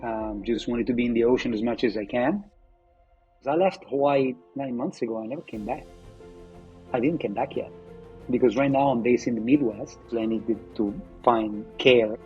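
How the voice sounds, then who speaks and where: A man, telling his own story in an interview, reflective and halting with many fillers and restarts. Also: medium pitch, highs cut off, faint music behind. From Italy. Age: 50s. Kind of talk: personal testimony interview.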